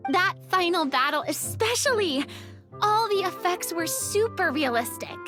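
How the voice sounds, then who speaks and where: Chirpy dramatic young diva for a game, really high, very lively, music behind. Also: female voice, unhurried. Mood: impressed. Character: theatrical, cheerful young female stage star, self-assured. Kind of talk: video game voice acting.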